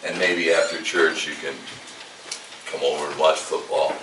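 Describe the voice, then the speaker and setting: A man's voice: rumbling low, flat delivery, moderate pace. An actor at a live table read, playing a grumpy, scowling old male teacher with a dry, folksy way of talking. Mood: dry, softening. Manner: dry, curmudgeonly, folksy grammar. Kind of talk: live staged script reading. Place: live staged event in a room. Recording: roomy, distant microphone, faint noise.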